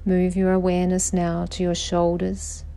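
A woman guiding a meditation in a calm, soothing voice, giving measured instructions with pauses. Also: lowish voice, vocal fry. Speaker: meditation guide, podcast host. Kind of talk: slow guided meditation narration.

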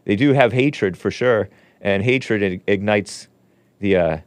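Low, smooth-voiced male talk host. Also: a little slow, no room sound. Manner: chatty, laughs easily, trails off with fillers. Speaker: show host. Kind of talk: casual talk-show chat.

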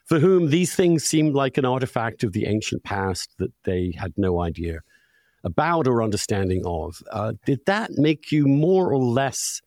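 A man's voice: mid-range voice, big pitch swings, a little mellow, no room sound. Thoughtful male interviewer, discursive, dry sound. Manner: deliberate, discursive, builds up long questions. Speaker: podcast host, interviewer.

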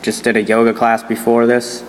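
A young man from Northeast Ohio leaving a calm, earnest message for a podcast while at the gym. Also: medium pitch, normal speed, big-room sound, a little hiss or hum. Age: young adult. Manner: calm, earnest, flat delivery. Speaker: listener calling in.

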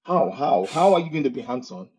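Husky Nigerian man, lively storyteller. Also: medium pitch, fairly quick, poor audio. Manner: animated storyteller, often laughing mid-sentence. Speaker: podcast guest. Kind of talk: casual interview conversation.

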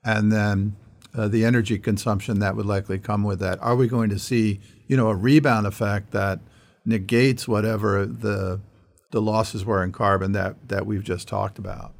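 A man's voice: fairly low pitch, flat delivery, normal speed, creaky. A man hosting a conversational interview, thinking aloud as he builds long questions. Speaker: interviewer, host.

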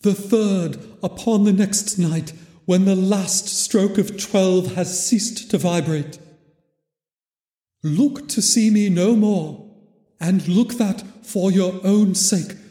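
A man voicing a mournful male ghost in chains, solemn and drawn-out, with an echoing reverb effect. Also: high voice, warm tone, voice pushed up. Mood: solemn warning. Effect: hall reverb. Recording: long echoey reverb.

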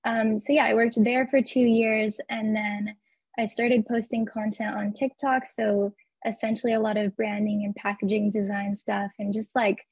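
Guest, woman in her twenties from Arizona; slightly husky voice, dry close sound, friendly and filler-heavy. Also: medium pitch, a little mellow, no room sound, rough recording. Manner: friendly, lots of "like" and "um". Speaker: guest, graphic designer. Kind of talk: casual interview.

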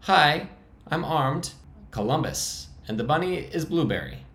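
A man doing a nervous male survivor whose very high voice keeps cracking into squeaks. He sounds wry and awkward, in a roomy, echoing space. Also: lively intonation, unhurried, gravelly, fairly bright tone, slight background noise, exaggerated voice. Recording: roomy echo.

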